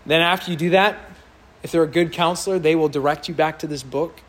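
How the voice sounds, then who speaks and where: Loud preacher guy, kinda high voice, earnest and emphatic, really builds up. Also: fairly even intonation, fairly quick. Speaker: pastor. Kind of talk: sermon. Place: church sanctuary with congregation.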